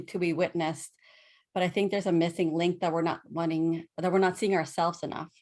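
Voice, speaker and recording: A woman's voice: on the low side, a little mellow. A woman who coaches others on self-trust, talking as a podcast guest in an earnest, conversational way. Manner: earnest, conversational, many fillers and self-quotes.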